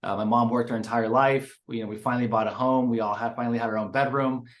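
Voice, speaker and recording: A man's voice: mid-range voice, fairly even intonation, fast. A man from San Diego, telling his story informally and personably as a podcast guest. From San Diego, California. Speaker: podcast guest, real estate entrepreneur. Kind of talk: casual interview storytelling.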